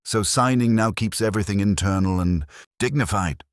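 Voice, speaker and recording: A man reading a scripted story as narrator in a measured, formal way. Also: lowish voice, no echo, studio quality.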